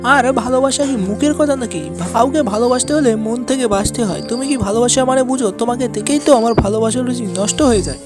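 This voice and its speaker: Man playing a haughty young cartoon woman, high-pitched, scornful, cutting, loud music underneath. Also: quick pace. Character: scornful young woman rejecting a poor suitor.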